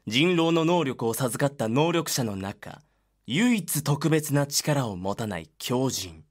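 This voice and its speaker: A man narrating a dark voice drama as a solemn, grave storyteller. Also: mid-range voice, sing-song. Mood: grave, ominous. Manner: grave, measured.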